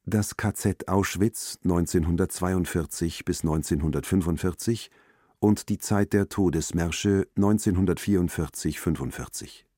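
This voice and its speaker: A man's voice: sits low, a little slow, mellow, no room sound, studio quality. A man working as an announcer, reading scripted documentary titles and credits in a sober, formal manner. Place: dry studio.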